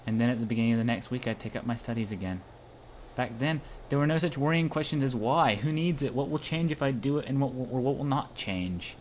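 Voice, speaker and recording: A man with a mid-pitched voice reads visual novel narration aloud as an amateur let's-play co-host. He drops in joking asides. The home recording has noise behind him. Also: fast talker.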